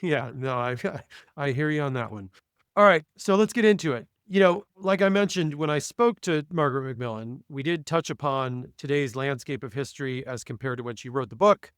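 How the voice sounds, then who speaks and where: A man's voice: medium pitch, normal speed, a bit bright, smooth, no room sound, studio quality. A man hosting a podcast, reading a guest introduction and then chatting with his guest in an upbeat way. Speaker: podcast host. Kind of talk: casual podcast interview.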